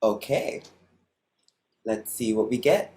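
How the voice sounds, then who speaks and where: A man's voice: medium pitch, very animated, takes it slowly, a little mellow. A man playing a jaded, tough middle-aged prostitute in a screenplay table read, blunt and weary. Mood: wry.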